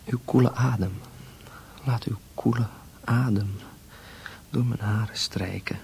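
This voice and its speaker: Very slow, breathy praying priest. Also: male voice, medium pitch, steady tone, darkish tone, slight background noise. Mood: rapt, yearning. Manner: breathy, half-whispered, halting. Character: fervent young priest praying in yearning devotion. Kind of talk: Dutch radio drama monologue.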